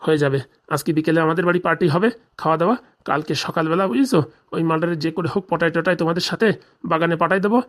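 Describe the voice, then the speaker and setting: Man acting a middle-aged village man, very fast talker, indignant, scheming, foul-mouthed cartoon comedy grumbler. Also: a little high, a bit bright, close to an everyday voice. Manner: colloquial, grumbling, swearing. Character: indignant, scheming forty-year-old village man, jilted husband.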